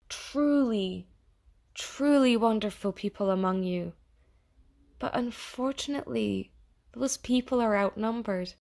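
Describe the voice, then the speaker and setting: A woman playing an earnest artificial intelligence in an audio theatre monologue, speaking conversationally and wryly with halting, emphatic pauses to the listener. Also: medium pitch. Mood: saddened, earnest. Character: earnest artificial intelligence, fond of yet disappointed in humanity.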